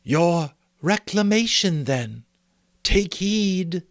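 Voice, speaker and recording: Gentle otherworldly spirit, raised pitch, airy and soft, stretched-out words, solemn audiobook fantasy figure. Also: male voice, animated, dry recording, clean studio sound, heavily acted. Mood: gently commanding. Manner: slow, drawn-out, otherworldly delivery.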